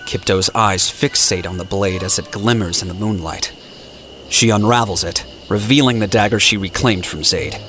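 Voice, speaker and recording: A man's voice: fairly low pitch, normal speed, bright, forceful tone, slight background noise. A man reading scripted, dramatic story narration for an audio drama. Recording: music playing behind the voice.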